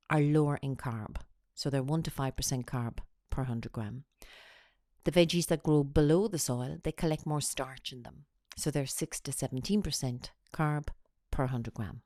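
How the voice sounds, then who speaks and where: Woman, low voice, has a cold, steady explaining tone, dry close sound. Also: dry recording. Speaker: weight-loss coach. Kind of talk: narrated slide presentation.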